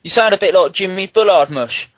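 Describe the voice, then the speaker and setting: A man's voice: high voice, a little fast, no echo, muffled recording. A man, teasing the others with cheeky slang. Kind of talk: casual banter.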